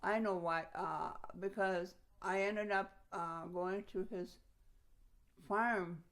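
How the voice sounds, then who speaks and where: An older woman from Slovakia, a native Slovak speaker, casually reminiscing in an interview in a halting way, often repeating her phrases. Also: mid-range voice, steady tone, a bit bright. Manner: halting, repeats phrases, chuckles while recalling.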